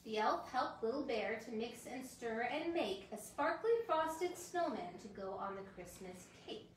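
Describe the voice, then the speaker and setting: Woman, thirties, picture-book reading, lively storyteller, roomy hotel lobby, heard from across the room. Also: fairly high pitch, measured pace, noisy background. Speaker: singer reading a children's book aloud. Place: hotel lobby with Christmas tree and seated guests. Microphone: camera across the room. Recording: roomy echo, voice from a distance.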